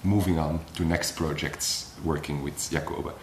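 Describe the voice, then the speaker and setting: A man's voice: very deep voice, a little slow, roomy sound, slight background noise. A young man from Belgium, a circus acrobat, chatting casually with lots of fillers like "like" and "uh". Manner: relaxed, filler-heavy with frequent "like". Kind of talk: casual interview talk.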